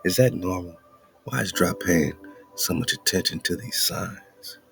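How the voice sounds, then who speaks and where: A man's voice: sits low, unhurried, vocal fry, a bit bright, faint music behind. A man hosting an internet radio show, commenting loosely and preachily on an article he reads aloud and laughing between points. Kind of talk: casual commentary over a read-aloud article.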